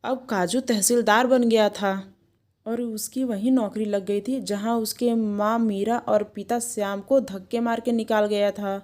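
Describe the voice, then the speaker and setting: A woman narrating an animated moral tale in a scripted storytelling style. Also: mid-range voice, a little slow.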